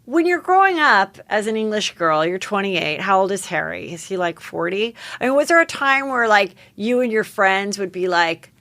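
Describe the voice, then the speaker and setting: A woman's voice: medium pitch, big pitch swings, moderate pace, hardly any pauses, fairly bright tone, no room sound. A woman hosting a podcast, asking rambling, gossipy questions full of "like"s in casual chat. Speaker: podcast host.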